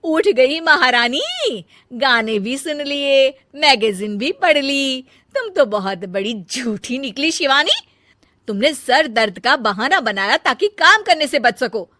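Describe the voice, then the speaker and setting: Woman voicing a lively, earnest elder daughter-in-law. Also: high voice, takes it slowly, dry recording, studio quality, exaggerated voice. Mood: indignant, scolding. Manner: earnest, expressive, chiding. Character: dutiful elder daughter-in-law, sensible, scolding when crossed. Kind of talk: cartoon family drama acting.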